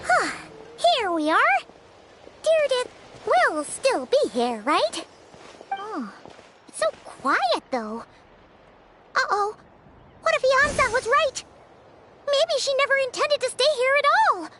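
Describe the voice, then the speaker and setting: A woman voicing a tiny, excitable, cheeky fairy companion in a video game, with a squeaky childlike voice. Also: slow, pauses often, exaggerated voice. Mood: uneasy. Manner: exclamatory, speaks of self in third person. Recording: music behind the voice.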